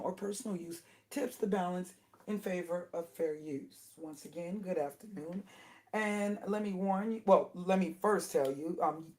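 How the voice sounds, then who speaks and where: Hoarse low-voiced woman on a livestream. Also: a little mellow. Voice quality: low alto voice. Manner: halting, with many restarts and apologies. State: hoarse, strained voice. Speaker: livestream host. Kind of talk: casual livestream commentary.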